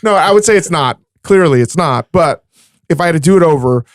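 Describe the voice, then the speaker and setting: A man's voice: fairly high pitch, expressive, fairly quick, no room sound, studio quality. A man hosting a podcast, talking frankly and with animation, cursing casually as he makes his points. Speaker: podcast host and chef.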